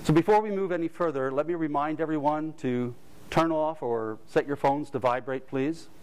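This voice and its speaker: A man moderating a conference panel, speaking in a measured, formal manner as he makes announcements and introduces the panelists. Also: fairly high pitch, a little mellow, slight background noise. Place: conference hall with audience.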